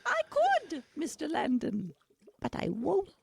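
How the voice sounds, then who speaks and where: Casting: sly, polite witch, high gravelly voice, theatrical radio-drama delivery, animated. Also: slow, a little hiss or hum, heavily acted. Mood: firm, polite. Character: genteel, principled witch with sly courtesy. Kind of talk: radio-play character acting.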